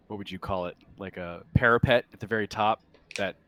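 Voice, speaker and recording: A man narrating a tabletop sci-fi game as game master, casually describing scenes in a halting way. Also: on the low side, fairly bright tone.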